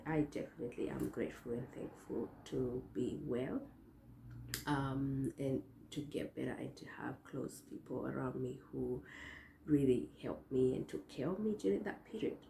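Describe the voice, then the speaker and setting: A young woman with a hoarse voice hosting her own vlog, chatting casually to viewers with lots of fillers and restarts. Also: a bass voice, mellow, slight background noise. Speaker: vlogger host. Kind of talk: casual talk to camera. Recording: echoey room.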